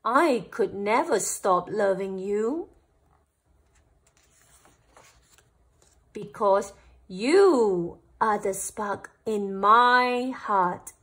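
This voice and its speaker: A woman voicing a gentle, loving mother dragon in a storybook, tender and coaxing. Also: medium pitch, lively intonation, extremely slow, husky, full character voice. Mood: tender, reassuring.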